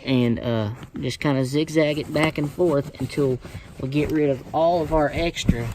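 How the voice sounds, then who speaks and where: A plainspoken, folksy man giving a casual hands-on tutorial, talking hesitantly in short phrases with pauses. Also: fairly high pitch, fairly even intonation. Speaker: how-to video presenter. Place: inside a parked car. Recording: noisy amateur recording with clicks.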